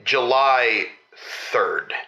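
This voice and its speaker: A man playing an earnest, chipper convention organizer calling in to a comedy radio show, pitching like a salesman. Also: lively intonation, drawn-out words, bright, forceful tone, dull audio, exaggerated voice. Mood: earnest enthusiasm. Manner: earnest salesman patter with hesitant fillers. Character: earnest, chipper male convention organizer promoting a prudish community. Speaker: caller. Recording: roomy, echoing voice pickup.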